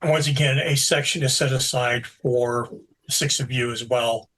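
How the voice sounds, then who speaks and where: A man running a tabletop game as game master, narrating scenes in a relaxed, explanatory way with frequent fillers. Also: mid-range voice, moderate pace, rough recording.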